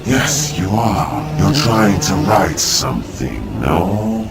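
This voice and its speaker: A gravelly alien gadget trader. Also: male voice, deep voice, big pitch swings, slow pace, full character voice. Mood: sly, persuasive. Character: smooth-talking alien gadget trader, male adult. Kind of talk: radio drama acting.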